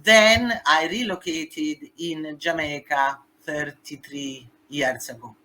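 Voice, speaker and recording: A woman with an Italian accent talks as a podcast guest, her voice bright and pushing forward with force. Her English carries a native Italian speaker's phrasing, and she lands her points with emphasis. Faint noise sits under the voice. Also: sits low, takes it slowly, poor audio. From Italy. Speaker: guest, human rights activist. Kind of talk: podcast interview.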